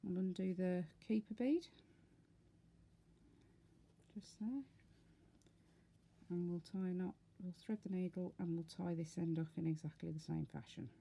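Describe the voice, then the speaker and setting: Low, creaky-voiced woman giving craft instructions. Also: a little slow, long pauses, warm tone. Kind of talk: casual step-by-step demonstration.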